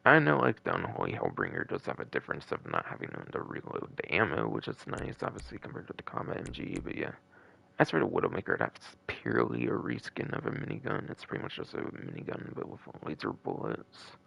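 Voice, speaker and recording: A man's voice: extremely low pitch, wildly swooping pitch, fairly quick, gravelly, sharp tone, breathy edge, poor audio. A man hosting a gaming live stream with casual, rambling, repetitive commentary and the odd swear word. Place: a room at home.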